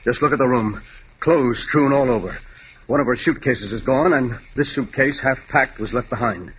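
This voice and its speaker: An actor playing a fretful, polite gentleman lawyer in a 1940s-style radio mystery. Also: medium pitch, moderate pace, slightly rough, lightly acted. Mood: fretful, insinuating. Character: anxious, smooth-mannered gentleman lawyer. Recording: muffled, noisy old radio recording.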